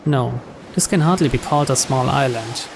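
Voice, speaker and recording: A man's voice: medium pitch, normal speed. A man reading a visual novel aloud as dramatic first-person narration. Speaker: video game player reading the story aloud. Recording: clear background noise.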